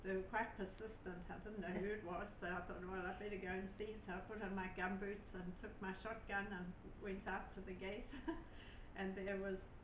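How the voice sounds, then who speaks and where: An older woman from Zimbabwe, a guest telling her story in a gentle, matter-of-fact way. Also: mid-range voice, flat delivery, normal speed, hardly any pauses. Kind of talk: storytelling interview answers. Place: daughter's home. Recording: echoey room with noise.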